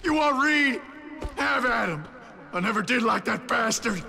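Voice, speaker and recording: An actor playing a fed-up, bitter and frantic outlaw in a Western video game, with an American Western accent and a strained, gravelly voice. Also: male voice, very high voice, animated, a little slow, pushed and bright, full character voice. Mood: exasperated, furious.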